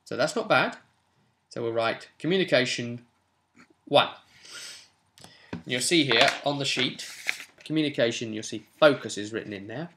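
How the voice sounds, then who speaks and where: A young man with a crisp, bright voice at a medium pitch talks viewers through tabletop game rules as their game master. He is casual and chatty, slipping in dry little asides as he explains. He sounds like he is recording at home, a short way from the microphone. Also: expressive, normal speed, long pauses, a little hiss or hum. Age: young adult. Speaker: game master and channel host. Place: small furnished room at home, indoors.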